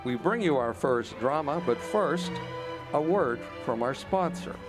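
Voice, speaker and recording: A man with a slightly high voice announces in scripted old-time radio fashion, as if hosting a live stage drama show. Music plays clearly behind him as he presents to the listeners. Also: lively intonation, measured pace. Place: outdoor amphitheater stage.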